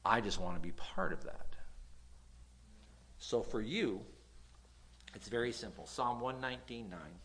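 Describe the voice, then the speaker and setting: A man with a nasal voice preaching a sermon, reading scripture aloud and swinging between loud emphasis and quiet asides, with an occasional stutter. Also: on the low side, a little slow, long pauses. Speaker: pastor.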